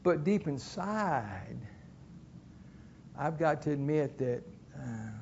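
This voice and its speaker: High-voiced preacher, folksy. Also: male voice, expressive, slow, vocal fry, a little mellow, muffled recording, slight background noise. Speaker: pastor. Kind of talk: sermon. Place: church.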